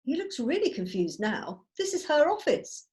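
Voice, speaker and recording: A woman's voice: high voice, very animated, normal speed, a little mellow. A woman narrating scripted stage directions at a drama table read held over a video call.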